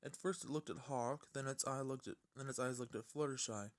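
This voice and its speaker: Male amateur storyteller, medium pitch, reads narration aloud with occasional stumbles and restarts. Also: fairly quick. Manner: stumbling over words, often rereading. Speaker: fan fiction reader.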